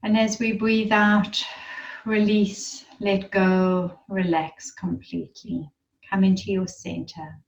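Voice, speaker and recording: A woman leading a guided meditation in a soothing, unhurried manner, with gentle instructions and pauses. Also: mid-range voice, level tone, recorded from a distance, dull audio.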